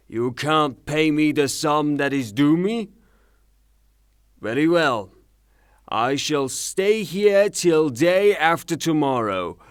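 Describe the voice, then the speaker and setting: An actor as a furious, slow-declaiming gentleman creditor. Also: on the high side, clear, resonant tone, dry recording, clean studio sound. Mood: stubborn, indignant. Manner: blustering, declamatory, sarcastic, long rhetorical tirades. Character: furious, rude creditor, a ranting misogynist gentleman. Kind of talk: theatrical audio drama acting.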